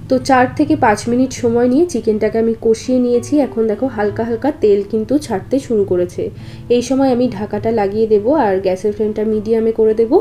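A woman presents a recipe voice-over. Her voice sits a little high yet sounds mellow and relaxed. She explains each step in an instructional way, with faint guitar music playing behind her. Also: fast talker. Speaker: cooking show host. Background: guitar background music.

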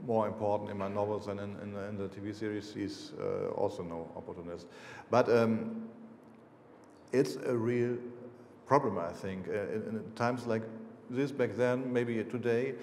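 A man's voice: lowish voice, fairly even intonation, moderate pace, a little mellow, slight background noise. A German-accented man in his fifties, a native German speaker, answering audience questions about his books, hesitant, with many fillers and restarts. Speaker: author. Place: lecture hall, seated on stage. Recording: roomy lecture hall echo.

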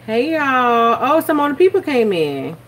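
A woman's voice: sits high, very animated, a little slow, fairly bright tone. Chatty young woman cooking on a live stream. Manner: chatty, reads viewer comments and thanks them. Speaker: cooking channel host. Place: home kitchen. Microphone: phone camera held over the stove. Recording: phone audio with background noise.

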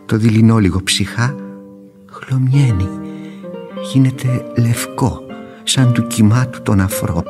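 An actor playing a gentle older uncle who adores roses, speaking poetically and with wonder in radio theatre. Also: male voice, medium pitch, natural voice. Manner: wonder-struck, poetic, emphatic. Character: gentle older uncle, rapt rose-loving amateur gardener. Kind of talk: radio theatre acting, lyrical recitation. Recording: radio drama with guitar music behind.

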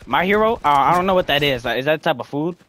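A man's voice: on the high side, expressive, fast, heavy background noise. A man chatting casually on game voice chat, telling rambling, tongue-in-cheek stories. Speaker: gamer. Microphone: gaming voice chat.

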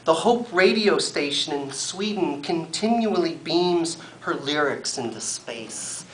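A middle-aged man giving a live poetry reading with wry, deliberate phrasing, recorded in a room by a camera a few metres away. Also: a little high, animated, fairly bright tone, a little hiss or hum, rough recording. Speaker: poet reading at an event. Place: wood-furnished library room, at a lectern.

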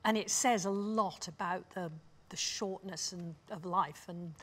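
A British woman in her sixties speaking thoughtfully on a discussion panel, with a measured, wry, reflective manner. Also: fairly low pitch, fairly bright tone. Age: 60s. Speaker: panelist, drama scholar. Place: stage panel before dark curtains, indoors.

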